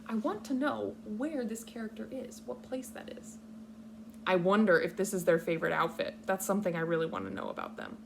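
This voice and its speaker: Creaky-voiced woman teaching young children. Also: medium pitch, very animated, a little hiss or hum. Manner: patient, instructional, simple deliberate phrasing. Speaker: kindergarten teacher reading a picture book aloud. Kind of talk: read-aloud lesson for young children.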